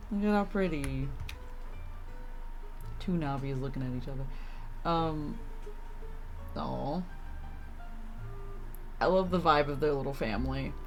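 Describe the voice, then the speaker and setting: A woman streaming a video game, giving casual, slangy commentary in short reactive remarks. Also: deep voice.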